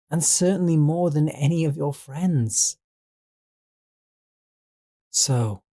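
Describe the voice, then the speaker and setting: A man's voice: fairly high pitch, dark tone. A man playing an obsessive, possessive servant in a scripted roleplay audio drama, coaxing and deferential yet controlling. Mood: stern, devoted.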